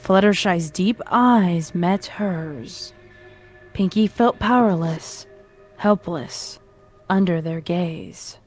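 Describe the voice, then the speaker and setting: A woman's voice: on the low side, slow pace. A woman reading scripted horror fan fiction as its narrator. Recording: music behind the voice.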